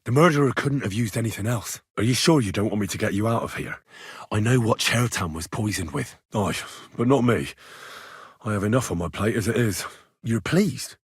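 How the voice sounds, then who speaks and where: An actor playing an earnest, inquisitive young medieval blacksmith turned adventurer in a video game, speaking in a plain-spoken way. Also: male voice, on the low side, moderate pace, breathy edge, no room sound, clean studio sound. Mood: concerned, questioning. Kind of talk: video game dialogue lines.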